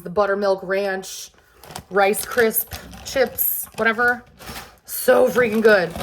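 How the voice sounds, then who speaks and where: A woman's voice: a little high, pushed and bright, slight background noise. A woman with a Southern US accent, sniffly from allergies, chatting casually and rambling as she shows off her groceries. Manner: chatty and rambling, with loose fillers and asides. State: hot and sweaty. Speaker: vlogger showing a grocery haul. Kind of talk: casual chat.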